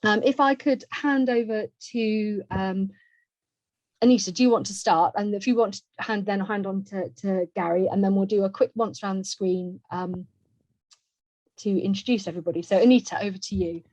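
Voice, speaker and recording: A woman with a mid-pitched voice hosts a webinar in a chatty, organised manner. She gives informal welcomes and practical notes. The sound is a slightly muffled video call. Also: moderate pace, darkish tone. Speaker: webinar host. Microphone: video call microphone. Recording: roomy, dull video-call audio.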